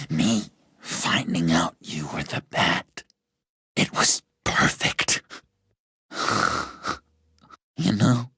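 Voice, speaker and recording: An actor playing an unhinged, sentimental, wounded villain in a video game, theatrical and halting, with a raspy voice that slips into whispers. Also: male voice, mid-range voice, fairly bright tone, dry recording, clean studio sound, full character voice. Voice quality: raspy, creaky.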